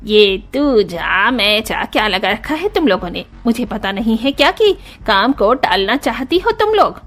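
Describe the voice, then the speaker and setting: A woman voicing a sharp-tongued older aunt in an animated story, scolding and complaining. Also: a little high, a little slow, heavily acted.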